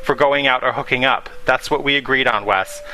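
A man's voice: medium pitch, fairly quick, pushed and bright, slight background noise, lightly acted, rough recording. A man voicing a hurt, petty young boyfriend who speaks in a clipped, pointed way. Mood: angry.

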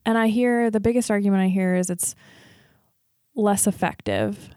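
A woman's voice: medium pitch, moderate pace, warm tone, dry recording, clean studio sound. A woman in her late twenties speaking thoughtfully in a casual conversation as a guest. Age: 20s. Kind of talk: casual health chat.

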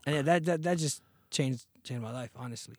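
A relaxed man in his thirties tells his story in loose, slangy chat, his voice sitting at an ordinary middle pitch. The sound is close and dry, with hardly any room around it. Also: a little fast, no echo. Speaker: podcast guest, singer. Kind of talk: casual interview chat.

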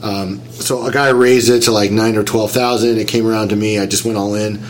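A man's voice: lowish voice, fairly even intonation, brisk, slight background noise. A man getting over a cold, sounding nasal and a little whiny, talking through a story in casual chat and explaining things step by step. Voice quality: slightly whiny.

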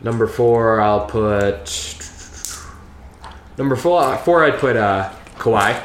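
A man co-hosting a casual sports podcast, relaxed and jokey, talking from inside a house. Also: medium pitch, takes it slowly. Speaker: podcast co-host. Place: house, indoors. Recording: echoey room with faint noise.

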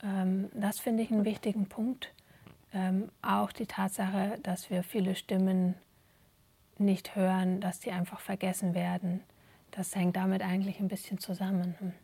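Woman interview guest, husky with some vocal fry, reflective and hesitant, informal conversational delivery. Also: medium pitch, flat delivery, normal speed, dark tone. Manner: thoughtful, hesitant, searching for words. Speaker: interview guest, theatre performer.

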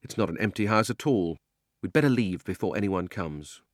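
Male narrator as schoolboy, storybook, blunt, wary, insistent, animated, close dry sound. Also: low-pitched, normal speed, no echo. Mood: urgent. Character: urgent, wary, plain-spoken schoolboy nephew. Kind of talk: dramatic audiobook character reading.

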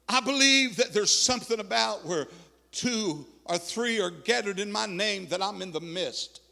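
A man's voice: sits high, gravelly, sharp tone. A man preaching a fervent revival sermon to his church, impassioned and repetitive, with stammered restarts and sing-song cadences. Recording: long reverb of a large hall.

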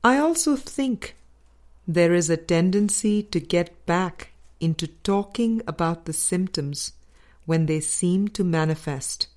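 A woman reading a spiritual book aloud as a scripted narration, with measured, deliberate phrasing in short groups. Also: on the low side, lively intonation, darkish tone. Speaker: audiobook reader.

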